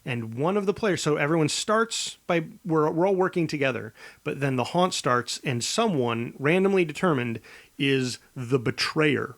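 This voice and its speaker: A man co-hosting a podcast, explaining ideas in casual chat with a halting, stammering delivery that repeats words. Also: on the high side, animated. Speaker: podcast co-host.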